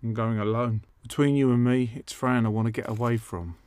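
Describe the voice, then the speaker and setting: A man playing a dim-witted bloke, medium pitch. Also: steady tone, a little fast, darkish tone, smooth, no room sound, lightly acted. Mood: matter-of-fact, stubborn. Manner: obtuse, literal-minded, colloquial. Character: dim-witted, self-interested, stubborn working-class bloke. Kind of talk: dark comedy audio drama dialogue.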